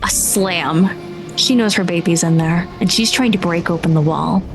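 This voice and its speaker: A woman's voice: fairly low pitch, normal speed, music in the background. A woman working as game master, improvising dramatic, vivid narration for a tabletop roleplaying game.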